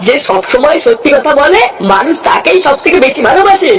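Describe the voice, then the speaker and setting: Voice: high voice, expressive, a little fast, over loud music. A performer voicing a bossy, preachy old village uncle in an exaggerated comedy cartoon, pompous and scolding.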